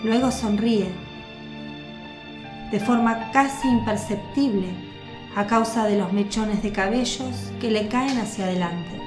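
A woman giving measured, scripted narration for a radio drama. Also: fairly high pitch. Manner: measured, descriptive. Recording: echoey with music bed.

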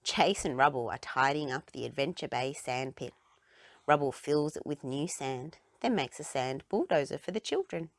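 A woman reading a children's storybook aloud with expressive, dramatic phrasing. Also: very deep voice, normal speed, no room sound. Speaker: children's book reader.

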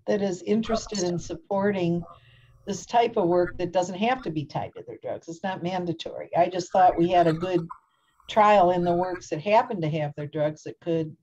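A woman in an office on a laptop video call, explaining with some halting restarts. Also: lowish voice, warm tone. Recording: dull lo-fi call audio with faint noise.

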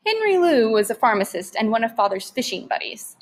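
A woman narrating a story aloud in an expressive style with deliberate, emphatic phrasing. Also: fairly high pitch.

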